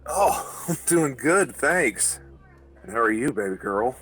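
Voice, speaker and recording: A man playing a creepy online predator on a call, coaxing and halting, with a domineering, menacing manner. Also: mid-range voice, sing-song, a bit bright, soft background music. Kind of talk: voice call conversation.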